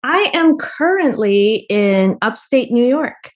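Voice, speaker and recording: A woman from the Philippines, a guest artist answering interview questions in a friendly way, often laughing softly mid-sentence. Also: a little high, lively intonation, slow. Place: Albany region, New York.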